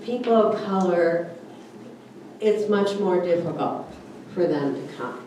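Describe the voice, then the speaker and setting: A woman's voice: on the low side, slow, warm tone. An older woman born in Germany, telling her family's immigration story at a church forum in a conversational way, with many "you know"s and "uh"s. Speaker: guest speaker at church forum. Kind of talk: personal storytelling talk. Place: church hall. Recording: roomy hall, distant mic, background noise.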